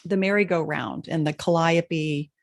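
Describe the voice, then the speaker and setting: A woman's voice: deep voice, steady tone, measured pace. A woman co-hosting a casual spiritual chat, hesitant, with many fillers, stumbling over names. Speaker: podcast co-host.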